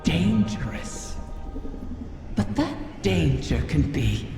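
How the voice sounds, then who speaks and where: A woman voicing an ancient, cunning, predatory creature in a video game, musing in a sly, deliberate way. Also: sits low, big pitch swings, gravelly, full character voice. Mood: seductive menace. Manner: slow, deliberate, musing delivery. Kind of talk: scripted video game monologue. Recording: cavernous long echo, music underneath.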